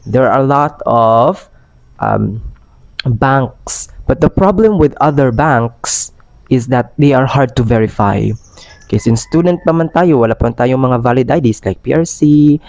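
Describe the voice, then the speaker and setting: A young Filipino man chats to his channel in a hoarse voice, worn rough by a cold. His pitch sits in a middling range for a man, and he drifts between English and Tagalog with plenty of fillers. The sound is dry and close, with no room echo. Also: measured pace, darkish tone. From Philippines. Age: young adult. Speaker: vlogger and part-time ESL tutor. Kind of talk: casual vlog talk.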